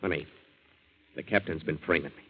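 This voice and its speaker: An actor playing an earnest, plain-spoken young American boat hand in a 1940s radio drama. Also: male voice, rumbling low, brisk, slightly rough. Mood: urgent, sure. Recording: muffled 1940s radio broadcast.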